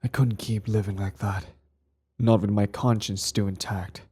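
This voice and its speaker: Man acting a low, husky, brooding villain. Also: fairly even intonation, warm tone. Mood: bitter. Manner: measured, intense, dramatic pauses. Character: cold former-hero villain, earnest, bitter, devoted to partner. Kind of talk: ASMR roleplay acting.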